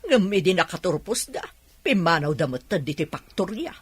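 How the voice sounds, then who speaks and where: A woman playing a grumbling, dismissive village sceptic with a Filipino accent and a husky voice, in a radio drama. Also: fairly low pitch, big pitch swings, normal speed. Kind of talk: Ilocano radio drama acting.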